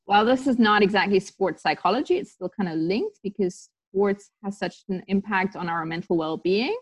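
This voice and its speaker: This is a woman in her thirties, raised in Tanzania, guesting on a sports podcast. She tells stories loosely, full of ums and restarts, at a middling pitch. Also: moderate pace, breathy edge. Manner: chatty storytelling with many fillers and restarts. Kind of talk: casual interview talk.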